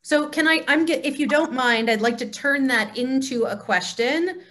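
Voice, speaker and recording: A woman's voice: sits high, moderate pace, fairly bright tone. A woman facilitating a conversation on a video call, encouraging and feeling her way through her phrasing. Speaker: cantor, discussion leader.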